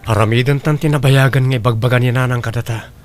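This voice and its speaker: A man's voice: medium pitch, steady tone, quick pace, faint music behind, natural voice. An actor with an Ilocano Filipino accent playing an insistent, persuasive man in a radio melodrama. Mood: earnest, persuasive. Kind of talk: radio drama acting. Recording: clear background noise and ambience.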